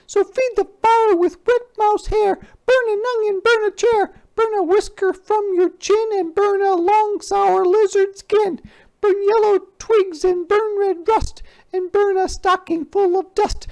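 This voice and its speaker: A weird old magician out of a storybook, played by a woman, chants in rhyme with eerie glee. The voice is pushed far up into a shrill, loud register and keeps a steady singsong lilt. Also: exaggerated voice. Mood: gleeful incantation. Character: chanting band of weird old male magicians, eerily gleeful.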